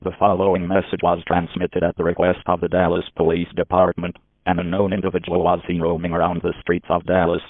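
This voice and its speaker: A tinny, narrow broadcast channel carries a synthetic man's voice. It is low and very monotone, reading a warning bulletin with formal, stilted phrasing. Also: fairly quick, long pauses. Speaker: emergency alert announcer. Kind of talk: scripted emergency alert announcement. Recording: tinny, phone-like alert broadcast.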